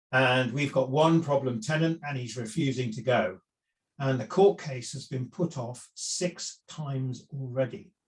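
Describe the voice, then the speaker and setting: A man's voice: mid-range voice, low-quality recording. A middle-aged man giving a seminar talk on property investment, conversational, with frequent fillers and restarts. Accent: none Welsh. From lived in South Wales for years.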